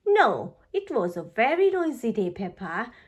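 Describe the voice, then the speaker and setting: A woman's voice: on the high side, sing-song, measured pace, breathy edge, full character voice. A woman voicing a mild, jolly father pig in a children's storybook.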